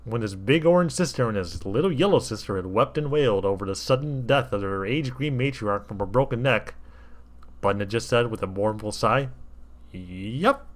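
A man's voice: mid-range voice, fairly quick. A man reading comic fan fiction aloud as a storybook narrator, with wry, theatrical asides to the listener.

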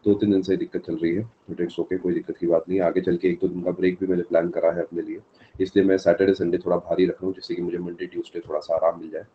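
A man's voice: deep voice, little pitch movement, quick pace, muffled recording, slight background noise. A male teacher with a sore throat giving a live exam-prep lecture, answering students from the chat by name and mixing in English terms.

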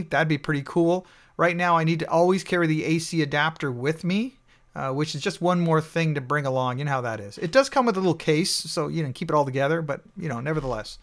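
A man with a fairly high voice narrates over slides. He explains in a relaxed, conversational way, peppering his talk with "you know". It sounds like a recorded voice-over. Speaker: network engineer reviewing a tool. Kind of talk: screen-recorded slide walkthrough.

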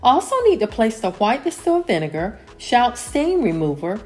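A woman narrating a home cleaning vlog as a calm, scripted voice-over, steady and homey, with lines that fall at the end. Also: fairly high pitch, moderate pace. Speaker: cleaning vlogger.

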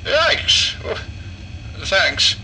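A man's voice: extremely slow, pushed and bright, a little hiss or hum, full character voice, poor audio. A man playing a chatty male patient in a horror audio drama, rambling and chuckling through his story. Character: chatty, apple-obsessed middle-aged male patient.

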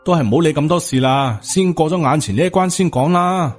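A man's voice: a little high, brisk, faint music behind, voice pushed up, close to an everyday voice. Man voicing a dejected, loyal Cantonese disciple. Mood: reproachful. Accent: Hong Kong Cantonese.

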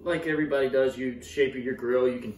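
Young guy demoing dough in a home kitchen, steady coaching tone, recorded from across the room with faint hiss. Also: mid-range voice, a little fast. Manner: encouraging, steady coaching with frequent "yep, perfect". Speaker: pizza maker teaching dough stretching. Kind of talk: casual cooking demonstration. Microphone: camera mic from across the counter. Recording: echoey kitchen, voice a bit far off, faint room noise.

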